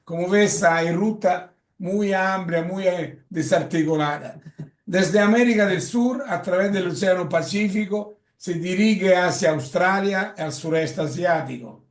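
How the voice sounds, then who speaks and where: A man with an Italian accent gives a lecture in Spanish, often halting with fillers and correcting himself. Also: high voice. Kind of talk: lecture presentation. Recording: echoey room.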